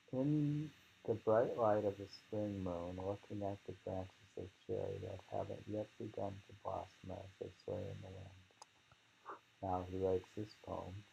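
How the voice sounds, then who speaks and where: A man reading poems aloud in a slow, halting, musing way, repeating lines and adding informal, rambling commentary, on a dull lo-fi recording. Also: fairly low pitch, vocal fry, mellow, husky. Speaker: reader and commentator of poetry.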